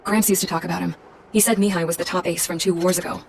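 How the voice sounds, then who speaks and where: An actress playing a tough, street-smart military woman in a game, talking in a blunt, casual, no-nonsense way over loud music. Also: lowish voice, steady tone, fast, vocal fry, far from the mic, slight background noise. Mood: wry, matter-of-fact. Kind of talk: scripted storytelling narration.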